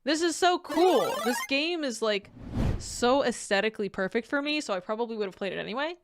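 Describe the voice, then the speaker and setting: A woman with a slightly high voice, recorded close and dry, reacts as a streamer. She talks casually and expressively, gushing and swearing freely. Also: animated, a little fast, no echo, faint music behind.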